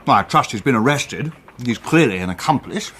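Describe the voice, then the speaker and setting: A formal, slightly pompous barrister in a radio drama, dry and easily exasperated. His delivery swings widely in pitch, with faint background noise and ambience around him. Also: animated, a little fast, fairly bright tone. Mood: puzzled. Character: Sinclair, a stuffy, dryly exasperated barrister and narrator. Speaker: narrator and actor. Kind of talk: radio drama narration and dialogue.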